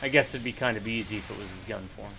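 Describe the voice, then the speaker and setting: A man's voice: on the low side, quick pace, bright, forceful tone, soft background music, low-quality recording. A man chatting casually while playing a co-op game, joking and thinking aloud. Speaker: co-op game player. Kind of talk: casual gameplay chat.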